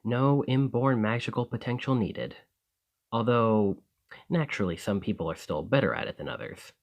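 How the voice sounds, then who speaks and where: A man's voice: lowish voice, expressive, moderate pace, gravelly. A man playing a friendly, earnest, easily flustered alchemist in an ASMR roleplay, talking conversationally to the listener. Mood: enthusiastic explaining. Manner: conversational, enthusiastic, hesitant when embarrassed.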